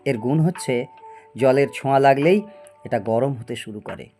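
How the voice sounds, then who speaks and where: A man's voice: medium pitch, normal speed, dark tone, faint music behind, lightly acted. A man acting a proud, obsessive middle-aged scientist in a Bengali audio story, theatrical and prone to stammer when agitated. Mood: excited, explaining. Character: proud obsessive male scientist, boastful and stubborn.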